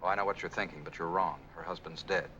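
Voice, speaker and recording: An actor playing an earnest, lovestruck American engineer in a 1940s film noir, speaking in a conversational manner. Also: male voice, low-pitched, fairly even intonation, fast, a bit bright, highs cut off, a little hiss or hum. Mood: earnest, wistful. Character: earnest, lovestruck male engineer, sentimental and solicitous. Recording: 1940s film soundtrack, faint music.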